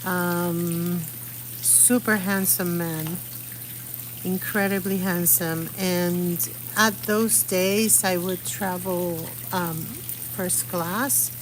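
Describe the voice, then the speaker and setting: A middle-aged woman from Puerto Rico chats slowly and loosely, often trailing off as she hunts for words. Her voice sits a little low. Clear background noise from the outdoor live stream is heard behind her. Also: steady tone. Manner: rambling, searching for words. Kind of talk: casual chat while painting. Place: outdoors under an umbrella.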